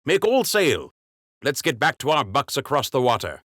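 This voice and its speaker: A man voices a noble rabbit king who speaks with calm authority and fatherly firmness. The voice is lifted a little above the narrator's low natural tone. The recording is dry and intimate. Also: dry recording. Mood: cheerful. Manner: measured, warmly stern. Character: wise, dignified male rabbit king.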